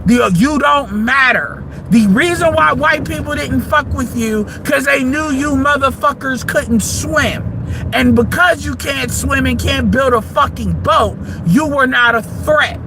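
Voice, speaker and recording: A man, delivering a heated, profane monologue that rises into shouts. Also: very high-pitched, a little slow, gravelly, noisy background. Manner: heated, combative, swearing freely, rising to shouts. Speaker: livestream commentator.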